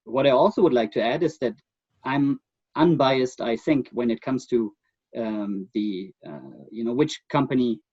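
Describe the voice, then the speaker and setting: A middle-aged man with a German accent, speaking as the guest expert in a remote interview in a thoughtful, halting way with many fillers. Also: medium pitch, a little mellow, husky, no echo, lo-fi sound.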